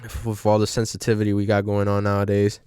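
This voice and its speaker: Casting note: young guy, casual podcast chatter, smooth and clear, very dry close mic. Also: lowish voice, monotone, fast talker, darkish tone, no echo. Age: young adult. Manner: rambling, restarts with "like" and "you know". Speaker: podcast host. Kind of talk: casual chat.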